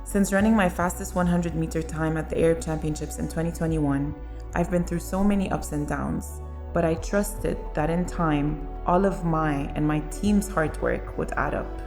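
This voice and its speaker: A woman's voice: sits low, normal speed, over music. A young woman athlete from Lebanon and Liberia, speaking reflectively in a documentary interview with casual fillers. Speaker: sprinter.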